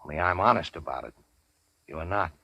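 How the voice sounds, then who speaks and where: An actor playing a tough, cynical, wisecracking crime boss with an American accent in a 1940s radio drama, talking in clipped slang. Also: male voice, low-pitched, fairly quick, pushed and bright, slightly rough, dry recording, full character voice. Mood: sardonic. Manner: clipped slangy wise-guy talk. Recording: dull 1940s radio recording.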